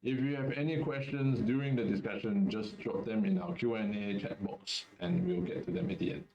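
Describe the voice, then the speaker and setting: A young man from Singapore hosts a student webinar in a casual, halting way, full of fillers. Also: medium pitch, expressive, creaky, echoey room, dull audio, a crowd in the background. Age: young adult. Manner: hesitant, many fillers and restarts. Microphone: video call.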